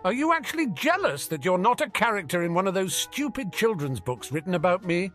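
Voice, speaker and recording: Bossy, on-edge schoolboy, performed by a man, raised pitch, insistent, for audiobook. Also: sing-song, normal speed, soft background music, lightly acted. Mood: amazed. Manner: insistent, commanding. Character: urgent, forceful, bossy schoolboy wizard on edge.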